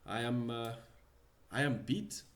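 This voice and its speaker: A man voicing a weary male adventurer just back from a battle, in a halting, wandering put-on foreign accent. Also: mid-range voice. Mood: tired.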